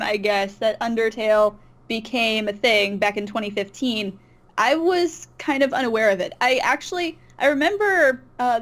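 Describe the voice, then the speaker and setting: A woman being interviewed, telling personal stories casually in loose, somewhat hesitant wording. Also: on the high side. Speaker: interview guest, YouTube voice artist. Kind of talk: casual chat, personal anecdote.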